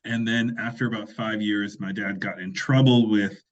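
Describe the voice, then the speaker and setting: A man's voice: on the low side, steady tone, normal speed, muffled recording. A man in his forties from Liberia, a podcast guest telling his life story in a reflective, self-deprecating way, often restarting his sentences. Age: 40s. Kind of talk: casual interview conversation.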